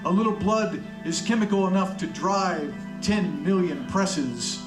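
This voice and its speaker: An actor voices a murdered man narrating radio noir in a slightly high male voice, very slow and florid. He is bitter and brooding, in a roomy space with guitar music behind him. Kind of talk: poetic radio noir monologue.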